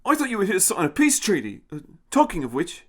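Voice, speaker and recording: A man's voice: high voice, wildly swooping pitch, quick pace, noisy background, voice pushed up. A man voicing a nervous, kindly young man in an audio story, hesitant, stammering and politely awkward. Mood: polite surprise.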